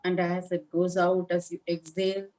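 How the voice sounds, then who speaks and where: A woman leading a guided meditation as a teacher, speaking in a calm, measured manner with long pauses between short phrases. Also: fairly low pitch. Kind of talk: guided meditation instruction, live session.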